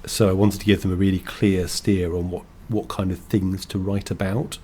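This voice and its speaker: An English man co-hosting a podcast, talking thoughtfully in halting, restarting sentences. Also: deep voice, little pitch movement, darkish tone, slight background noise. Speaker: podcast co-host, game designer. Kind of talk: casual chat.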